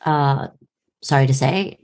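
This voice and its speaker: A woman's voice: extremely low pitch, slow pace, darkish tone. A woman in her late thirties with an American accent, telling a wry, self-deprecating story in casual conversation. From United States. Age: late 30s.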